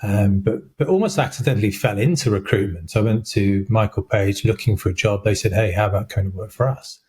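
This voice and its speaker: Guy recruiter on a podcast, lowish husky voice, measured and a bit dry. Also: darkish tone. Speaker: guest, recruiter. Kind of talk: casual interview chat.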